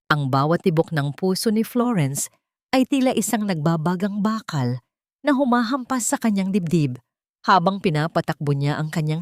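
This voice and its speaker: A woman's voice: deep voice, a little mellow, no echo, studio quality. A woman narrator reading a dramatic scripted story.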